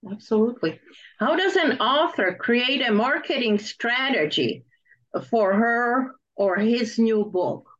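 A woman's voice: on the high side, expressive, slow, a bit bright. A woman hosting an interview, presenting and asking questions in a prepared style. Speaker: interviewer, host. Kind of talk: interview questions, partly scripted introduction. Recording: dull, band-limited audio.

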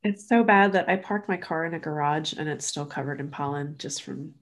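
A woman's voice: low voice, a little fast. A woman in her thirties, a design educator, chatting as a podcast guest, a little nasal from allergies. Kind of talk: casual interview.

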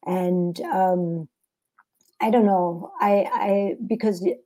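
A woman's voice: mid-range voice, fairly even intonation, slow, warm tone. A woman from Germany with a German accent, an author talking reflectively in an interview, often searching for words. English is her second language. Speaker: author guest. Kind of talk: interview conversation.

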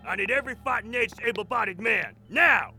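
Gravelly, high-pitched commanding officer played by a man. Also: animated, fairly quick, bright, forceful tone, soft background music, full character voice. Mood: urgent, barking. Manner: curt, authoritative. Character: gruff, commanding military officer barking orders. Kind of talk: sci-fi war audio drama acting.

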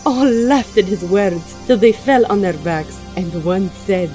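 A woman narrating a scripted story in expressive, archaic storytelling style, with music behind her. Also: medium pitch, measured pace.

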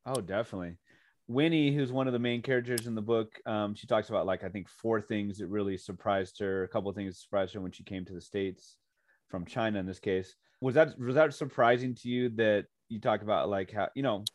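A man's voice: fairly low pitch, a little fast, no room sound. A man, host of a podcast, asking long rambling questions and chiming in often with short agreements. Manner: chatty, rambling questions, frequent backchannel. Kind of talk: podcast interview.

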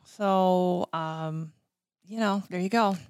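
A woman's voice: on the low side, takes it slowly, a little mellow, clean tone, no room sound. Chatty middle-aged American woman, dry close sound. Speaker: guest recounting concert backstage stories. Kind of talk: casual reminiscing chat.

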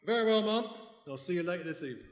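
An actor playing a polite, deferential young detective's assistant in a live comedy radio mystery. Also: male voice, high-pitched, animated, very fast, over loud music. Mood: polite, earnest. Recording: echoey hall, live stage recording.

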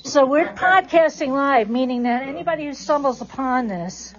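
Female podcast host and teacher, reassuring, higher-pitched, captured in muffled lo-fi sound. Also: animated, moderate pace. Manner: encouraging, reassuring prompts. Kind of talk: live podcast hosting, addressing a class. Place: classroom with about twenty people.

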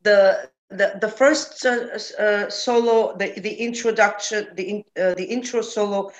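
A woman's voice: medium pitch, fairly even intonation, unhurried. A middle-aged woman from Lebanon, answering interview questions thoughtfully as a singer-songwriter guest, often restarting her sentences. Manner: thoughtful, speaks with frequent restarts. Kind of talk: conversational interview.

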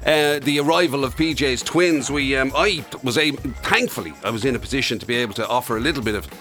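A man's voice: medium pitch, fast, pushed and bright, faint music behind. An Irish man hosting casual, comic radio banter in a studio, with a teasing, conversational manner. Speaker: radio show host.

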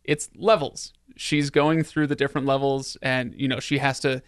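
A man's voice: medium pitch, level tone, normal speed, clean tone, dry recording. Man hosting a casual, dry-sounding discussion. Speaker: podcast host. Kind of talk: casual film and game discussion.

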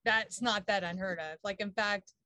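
A woman co-hosting a podcast, chatting casually and telling an animated anecdote with exasperated asides. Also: mid-range voice, normal speed, dry recording. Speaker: podcast co-host. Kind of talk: casual chat.

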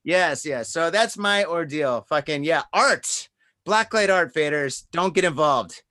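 A man's voice: fairly high pitch, animated, a little slow, sharp tone. A man in his forties telling a rambling, profane story in casual podcast chat, peppering it with "dude" and "man". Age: 40s. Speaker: podcast co-host.

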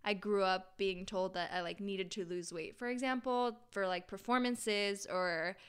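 A woman from Argentina, a fitness professional, chatting as a podcast guest, lively and laughing, with many casual "likes". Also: mid-range voice, a little slow, clear, resonant tone. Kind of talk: casual interview chat.

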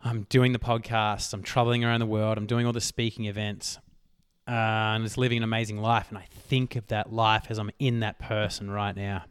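A man hosting a solo motivational podcast, talking emphatically and swearing casually, his voice worn hoarse. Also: lowish voice, little pitch movement, fairly quick, dry recording, clean studio sound. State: losing his voice. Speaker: podcast host.

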